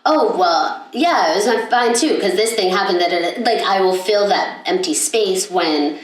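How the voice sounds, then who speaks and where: A woman's voice: medium pitch, expressive, moderate pace. A young woman co-hosting a podcast, chatting casually and rambling with lots of fillers and restarts. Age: young adult. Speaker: podcast co-host. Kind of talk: casual chat. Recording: echoey room, mic at a distance.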